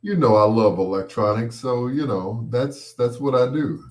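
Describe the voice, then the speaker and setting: A man's voice: mid-range voice, lively intonation, moderate pace, a little mellow. A man hosting a personal storytelling show in a casual, rambling, playful way, sometimes acting out what people said. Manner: playful, acts out quoted voices.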